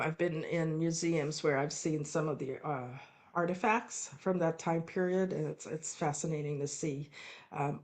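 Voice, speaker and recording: A woman from the Philippines, teaching a spiritual course in a relaxed, unscripted way, often pausing with ums and restarting her sentences. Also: low-pitched.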